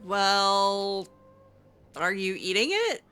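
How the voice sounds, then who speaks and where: A woman doing casual in-character roleplay over a video game. Her mid-range voice is bright and swings expressively, with halting, improvised reactions. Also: medium pitch, sing-song, smooth. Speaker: gamer playing in character.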